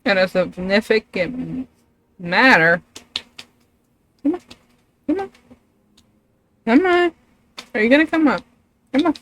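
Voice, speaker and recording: A woman's voice: fairly high pitch, animated, slow, long pauses. A woman chatting casually as she streams a video game, easily distracted and loose with her language. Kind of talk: casual live gameplay chat. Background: background noise with a loud pet dog.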